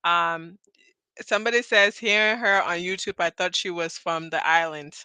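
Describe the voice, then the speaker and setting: A woman's voice: lowish voice, steady tone, normal speed, sharp tone, clear, resonant tone, no room sound. A woman from Cameroon hosting a live audio chat interview, chatty and rambling, laughing often. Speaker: host.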